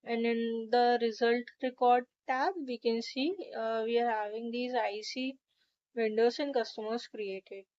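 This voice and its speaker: High-voiced woman, dull lo-fi recording. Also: little pitch movement, a little slow, a little mellow. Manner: methodical, instructional. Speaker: software tutorial presenter. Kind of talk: step-by-step screen tutorial narration.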